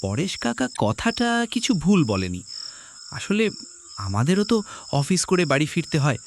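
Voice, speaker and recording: A man's voice: fairly high pitch, wildly swooping pitch, moderate pace. A man playing an uneasy young village office worker, earnest and apprehensive, in a horror cartoon. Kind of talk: Bengali horror cartoon dialogue. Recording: faint noise with chirping crickets.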